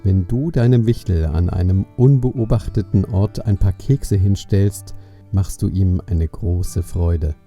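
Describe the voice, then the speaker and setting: Very mellow low male storyteller. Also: measured pace, faint music behind. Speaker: narrator. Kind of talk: scripted children's story narration.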